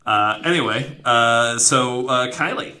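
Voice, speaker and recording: A man's voice: mid-range voice, takes it slowly, bright, forceful tone. A man hosting a podcast with casual, wry, irreverent banter. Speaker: podcast host. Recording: clear background noise.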